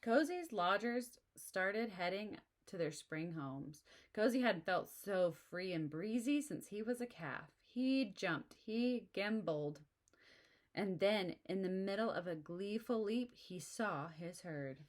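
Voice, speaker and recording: A woman reading a children's picture book aloud, pausing to explain the story to young listeners in a friendly way. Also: medium pitch, lively intonation.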